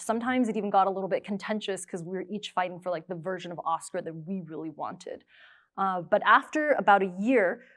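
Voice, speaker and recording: A woman in her thirties giving a prepared conference presentation on a lapel mic. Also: on the low side. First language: English. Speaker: creative producer, presenter. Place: indoor studio stage. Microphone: clip-on lapel mic.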